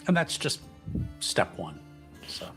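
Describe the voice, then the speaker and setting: A man's voice: slow pace, a bit bright, faint music behind. A man chatting casually as player and host of a roleplaying livestream, with hesitant, stop-and-start phrasing. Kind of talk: casual livestream game chat.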